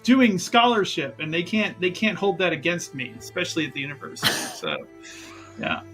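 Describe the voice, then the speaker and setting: Bright-voiced player, rambling and repetitive. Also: medium pitch, very animated, normal speed, soft background music. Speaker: player in a tabletop roleplaying game. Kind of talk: casual in-character roleplay discussion.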